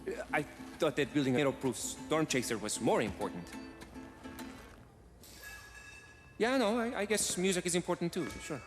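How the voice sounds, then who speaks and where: Man acting an earnest, higher-voiced nerdy techie. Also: animated, fast talker, in a large hall, soft background music, natural voice. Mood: hesitant, awkward. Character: earnest, nerdy, eccentric male tech mechanic. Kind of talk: video game cutscene acting.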